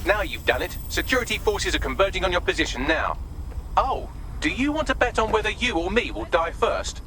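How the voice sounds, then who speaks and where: Through clear background noise comes a male video game AI with a fairly high, very lively voice. He is condescending and dryly witty, wordy and courteous even when menacing. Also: a little fast. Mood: taunting. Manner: polite, wordy, dry and superior. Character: male artificial intelligence assistant, condescending, witty, politely menacing. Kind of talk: scripted video game acting.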